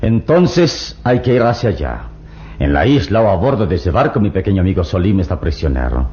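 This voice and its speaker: An actor playing a calm, resolute adventure hero in a vintage radio drama. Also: male voice, low-pitched, fairly quick. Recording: dull lo-fi old radio recording.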